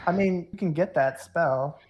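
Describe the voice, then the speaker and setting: Low-voiced, playful woman game master. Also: normal speed, lo-fi sound. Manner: playful, teasing. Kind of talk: casual tabletop game narration and banter.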